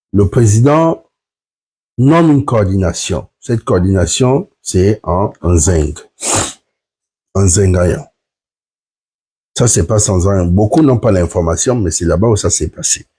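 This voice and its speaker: Husky low-voiced man, sick. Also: dark tone. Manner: earnest, explains point by point, addresses viewers directly. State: sick with flu, voice affected. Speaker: platform member hosting a live stream. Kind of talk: live stream address to viewers.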